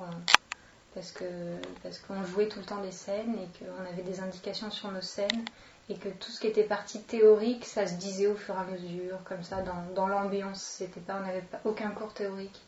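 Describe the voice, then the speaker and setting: A woman's voice: mid-range voice, level tone, moderate pace. Interviewee: woman, 27, French accent, informal and hesitant delivery, dull roomy recording with faint noise. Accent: French, from France. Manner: informal, hesitant, thinking aloud. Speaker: interviewee, actress and children's entertainer. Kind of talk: casual spoken self-introduction. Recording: roomy, dull, distant microphone, noisy.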